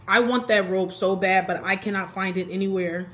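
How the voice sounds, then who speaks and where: A woman's voice: mid-range voice, steady tone, moderate pace, a bit bright. A woman in her thirties talking casually to the camera in a rambling, chatty vlog, laughing between thoughts. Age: 30s. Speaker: vlogger.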